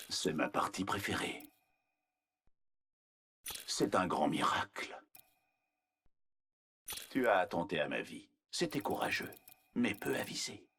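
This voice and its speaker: An actor playing a cold, arrogant, menacing scientist villain in a video game, speaking in a measured, condescending, clinical manner with a husky voice. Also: male voice, deep voice, bright, forceful tone, full character voice. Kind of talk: scripted video game character voice lines.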